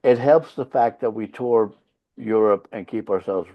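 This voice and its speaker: A man's voice: lowish voice, measured pace. Finnish-born guy, swedish first language, rock band leader on a podcast, cusses a lot and gets emphatic, kinda lo-fi sound. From Finland. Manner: blunt, profane, emphatic storytelling. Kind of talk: casual interview chat.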